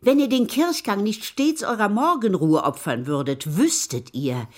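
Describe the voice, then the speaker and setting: Pious indignant maid, husky, very animated intonation, fervent, dramatic, radio drama. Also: female voice, mid-range voice, a little slow. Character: fervently pious, indignant maidservant in old Italy. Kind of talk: German radio drama acting.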